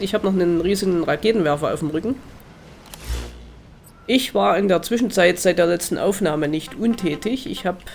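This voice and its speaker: A man's voice: high voice, creaky, a little hiss or hum. A man with a slightly congested, nasal voice from a cold, giving casual, hesitant Let's Play commentary as he thinks aloud.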